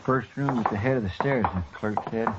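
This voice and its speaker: An actor playing a good-natured, grumbling frontier sidekick, folksy and deferential, in an old time radio western. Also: male voice, medium pitch, a little fast, lightly acted. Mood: folksy, complaining. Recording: muffled, very noisy old radio recording.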